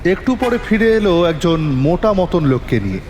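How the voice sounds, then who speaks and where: A man's voice: high-pitched, very animated, moderate pace. A man playing a polite, probing police detective who narrates his case in a dramatic audio story, with questioning delivery. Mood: suspenseful. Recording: long reverb, music bed.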